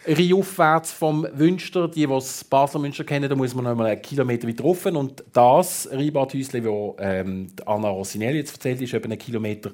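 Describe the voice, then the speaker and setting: A man's voice: mid-range voice, brisk, few pauses, darkish tone. A man with a Swiss German accent hosting a talk show, interviewing informally and adding short explanations for listeners. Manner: informal, frequent backchannels. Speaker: radio talk show host. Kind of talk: casual interviewing with asides to listeners.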